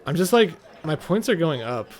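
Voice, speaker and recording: A man's voice: fairly high pitch, very animated, moderate pace, some chatter behind. A man giving casual, offhand and mildly sardonic commentary as host of a video game playthrough.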